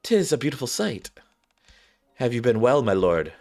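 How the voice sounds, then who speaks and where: A man's voice: medium pitch, big pitch swings, moderate pace, darkish tone, voice pushed up. A man voicing a carefree, poetic swordswoman from a game in flowery old-fashioned diction. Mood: carefree, courtly. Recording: background game music.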